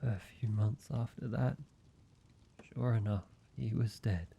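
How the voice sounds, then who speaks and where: A man plays an ancient, weary vampire in an intimate audio roleplay. The voice is low and very mellow, with long, slow, solemn phrasing that halts as it goes. The recording is dull, with some noise behind it. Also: steady tone, gravelly. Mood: somber, regretful. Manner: measured, halting, solemn storytelling. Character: ancient male vampire, grave, weary, grieving father.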